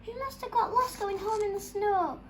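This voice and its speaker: A woman voicing an excitable, friendly male rabbit with a squeaky, exclaiming delivery in a children's storybook reading. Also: normal speed, slight background noise. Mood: excited, concerned. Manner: exclaiming, shouting cheerfully.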